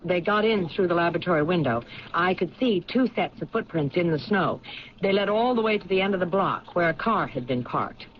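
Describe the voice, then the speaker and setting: An actress playing a sharp, persistent police lieutenant in a radio drama, questioning in a matter-of-fact way. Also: female voice, lowish voice, lively intonation, brisk, natural voice. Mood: confidently probing. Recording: muffled, noisy old radio drama recording.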